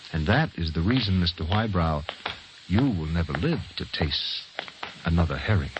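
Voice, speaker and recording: A man's voice: low voice, expressive, moderate pace, very noisy. An actor playing a menacing, taunting narrator in an old radio thriller, speaking theatrically and insistently straight to his victim. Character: menacing, taunting male narrator stalking a doomed man with words. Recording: old radio drama with music behind.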